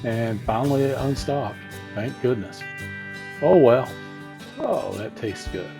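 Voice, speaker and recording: A man's voice: medium pitch, lively intonation, slow pace, creaky. Plainspoken guy doing a diy car how-to, kinda folksy, music in the background. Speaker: DIY car repair video host. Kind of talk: casual hands-on how-to narration.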